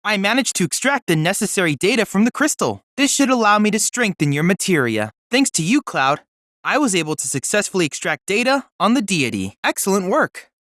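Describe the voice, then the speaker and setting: A man's voice: high voice, sing-song, a little fast, a bit bright, dry recording, clean studio sound. A man voicing a polite, eager teenage boy android research assistant in a video game, speaking in a precise, formal, articulate way. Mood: excited, impressed. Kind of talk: scripted video game character dialogue.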